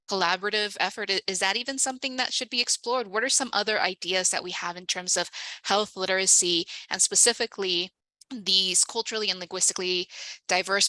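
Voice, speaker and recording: A woman moderating a webinar panel discussion, steering the talk with measured questions over a video call. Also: mid-range voice, sharp tone, dry recording, low-quality recording.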